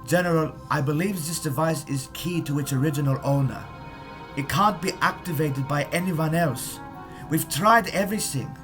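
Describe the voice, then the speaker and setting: A man's voice: fairly high pitch, moderate pace, over music, natural voice. A man acting an anxious German rocket scientist who reports deferentially in a fan audio drama. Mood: earnest pleading. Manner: uneasy, earnest, explanatory.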